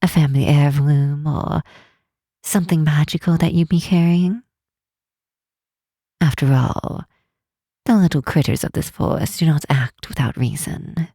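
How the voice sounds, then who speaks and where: A teasing half-elf tower mistress, acted by a woman in a fantasy ASMR roleplay. Her voice is dark and husky with a creaky edge, soft and close. She chooses formal, old-fashioned words and lets her curiosity show in an unhurried, playful manner. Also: deep voice, no echo, heavily acted. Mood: pensive, curious.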